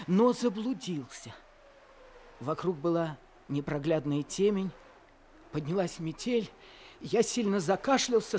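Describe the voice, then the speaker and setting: A man playing a feverish, exhausted young man who narrates his story in a radio play, earnest and quiet. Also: high-pitched, slight background noise. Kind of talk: radio drama narration and dialogue.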